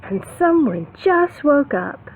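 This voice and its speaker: A woman preschool teacher reading a picture book aloud to young children, expressive, with simple explanations and questions. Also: high voice, slow pace. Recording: phone-like narrow band, faint background noise.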